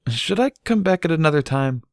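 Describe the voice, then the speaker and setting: A man's voice: a little high, very animated, fairly quick, gravelly, no room sound, clean studio sound, voice pushed up. A man voicing a sheepish, nervous teenage male pony in a storybook reading, awkward and hesitant.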